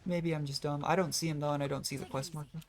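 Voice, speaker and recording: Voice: mid-range voice, fairly even intonation, quick pace. A game streamer rambling casually through gameplay commentary, thinking aloud in a self-deprecating way. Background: video game music.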